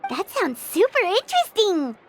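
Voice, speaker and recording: A woman voicing a tiny, excitable, childlike female fairy in a video game, with a squeaky, chirpy voice. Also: normal speed, faint music behind, exaggerated voice. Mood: excited, curious. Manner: chirpy, refers to self in third person. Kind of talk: English video game voice acting.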